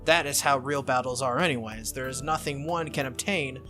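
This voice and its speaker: A stern young swordswoman of a visual novel, read by a man, speaks formally and earnestly. The voice is bright and measured, with music behind it. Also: mid-range voice, close to an everyday voice.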